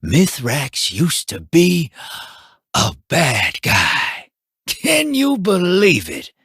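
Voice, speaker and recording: A seasoned, streetwise veteran in a sci-fi game, teasing and unhurried, his husky voice swinging up and down. The line is recorded dry and close, with no room around it. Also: fairly high pitch, huge pitch swings, a bit bright, no room sound, exaggerated voice. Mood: wry. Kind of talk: video game dialogue.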